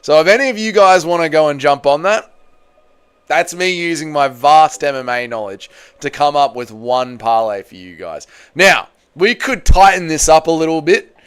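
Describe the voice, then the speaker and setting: Higher-voiced, clear man chatting on a livestream. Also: lively intonation, normal speed. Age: 30s. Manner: blokey, crude joking, repeats himself, addresses viewers as boys. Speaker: livestream host. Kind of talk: casual livestream chat about MMA, reading out viewer comments.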